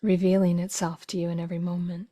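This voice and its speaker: Soft husky lady doing guided meditation, mellow and flat, kinda whispery, unhurried. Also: on the low side. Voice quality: soft, breathy, husky voice, often trailing into a whisper. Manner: slow, gentle, unhurried phrases with long pauses. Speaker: meditation teacher.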